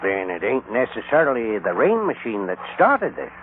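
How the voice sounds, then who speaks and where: An actor playing a folksy, bumbling, excitable old American sidekick in a radio western, with a stammering, hesitant drawl. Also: male voice, medium pitch, normal speed. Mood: doubtful, musing. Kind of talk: 1940s-50s radio western acting. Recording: tinny, hissy old radio recording.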